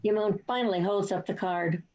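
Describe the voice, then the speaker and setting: A woman's voice: medium pitch, a little fast, darkish tone. A woman reading scripted stage directions as the narrator of a play reading. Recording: dull, lo-fi online recording.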